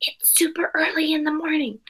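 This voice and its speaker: A woman voicing a weary, reluctant storybook father in a hushed, pleading manner. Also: sits high, steady tone, a little fast, pitched above the performer's own voice. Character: weary, reluctant storybook dad woken at night.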